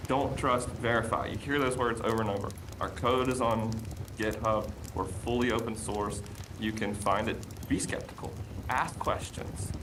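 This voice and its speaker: An American man chatting casually on a podcast, talking with enthusiasm, full of fillers and repeated phrases. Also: mid-range voice. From United States. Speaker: guest, app promoter. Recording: noisy background.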